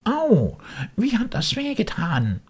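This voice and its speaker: A tiny childlike creature of wood, whimpering and pleading in a very high, thin falsetto. It is plaintive and fragile, straight out of a storybook. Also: male voice, wildly swooping pitch, slow pace, darkish tone, husky, heavily acted. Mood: loud complaint. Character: tiny delicate wailing voice of a living log, childlike.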